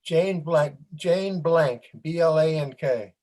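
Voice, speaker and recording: A man's voice: fairly high pitch, takes it slowly, a little mellow. A man chipping into a casual group discussion on a video call. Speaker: panel participant. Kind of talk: casual discussion, spelling out names.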